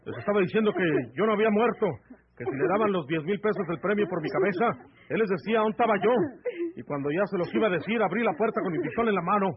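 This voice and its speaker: An actor playing a ruthless outlaw bandit with a northern Mexican accent in an old radio serial, speaking in a rustic, commanding way. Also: male voice, high-pitched, fast, a crowd in the background, natural voice. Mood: matter-of-fact menace. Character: ruthless male outlaw bandit, cold-blooded and threatening. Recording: tinny, band-limited old AM radio recording.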